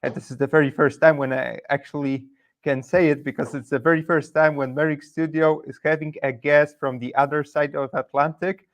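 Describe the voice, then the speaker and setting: A man, hosting an online interview formally and pausing often with "uh". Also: fairly high pitch, little pitch movement. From Poland. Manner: formal, hesitant with "uh" fillers. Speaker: webinar host.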